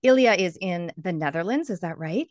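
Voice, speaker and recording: A woman's voice: lowish voice, lively intonation, normal speed, no echo, studio quality. A woman hosting a podcast interview in an upbeat, enthusiastic way, from New Zealand. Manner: bubbly and enthusiastic. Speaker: podcast host.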